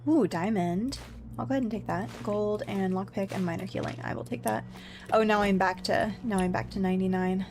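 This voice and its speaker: A woman's voice: mid-range voice, a little fast, a little mellow, a little hiss or hum. A woman giving casual, chatty gameplay commentary, thinking aloud with playful little exclamations. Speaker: gamer streaming a blind play-through.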